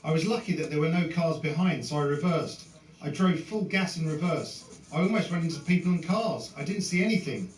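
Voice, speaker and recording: A man giving a shaken eyewitness account in a halting, emphatic way. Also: a little high, slight background noise. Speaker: eyewitness interviewee. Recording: distant news clip in a roomy space.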